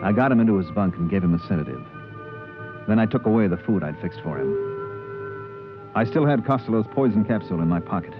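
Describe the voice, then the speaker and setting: An actor playing a decent, wary spaceship purser, telling and acting the story in 1950s radio drama style. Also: male voice, lowish voice, lively intonation, brisk, pauses often, natural voice. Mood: reflective. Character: plain-spoken male spaceship purser, wary, decent, reflective. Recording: narrow-band old radio with music.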